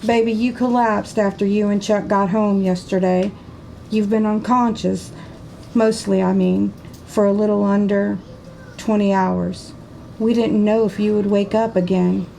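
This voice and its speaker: In an audiobook, a gentle older mother speaks in a slow Southern accent, as a male narrator puts her on. Her voice is soft and gravelly, dark and mellow, and she stays soothing while holding back tears. Her pitch barely moves. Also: flat delivery, slight background noise, rough recording. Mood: tender, grieving. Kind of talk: audiobook character voice.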